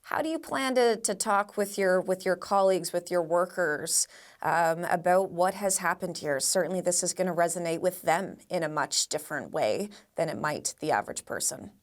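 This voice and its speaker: A female news presenter with a fairly low voice interviews a guest on a live broadcast. Her questioning is measured and courteous, with an earnest tone.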